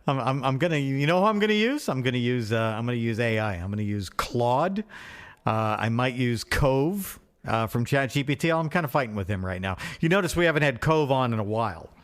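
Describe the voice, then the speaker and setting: A man co-hosting a podcast in a casual, comic phone chat, excitable and joking, with casual swearing. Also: medium pitch, a little fast. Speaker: podcast co-host.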